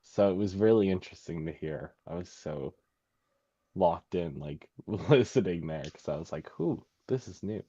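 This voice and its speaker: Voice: low-pitched, lively intonation, normal speed, mellow, husky, no room sound, dull audio. A speaker chatting casually in a group, with very informal phrasing sprinkled with "like".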